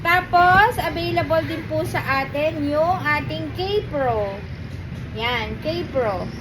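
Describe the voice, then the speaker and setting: Casting: female shop presenter, high voice, informal product-showcase patter, recorded amid clear vehicle noise. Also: takes it slowly. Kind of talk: casual product showcase for customers. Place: motorcycle gear store. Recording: noisy, with vehicle sounds behind.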